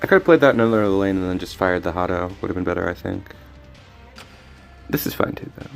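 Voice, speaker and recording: A man casually commenting on his card game as he plays, thinking aloud with frequent restarts, his voice a little raspy today. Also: low voice, fairly quick, soft background music. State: slightly raspy voice. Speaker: streamer.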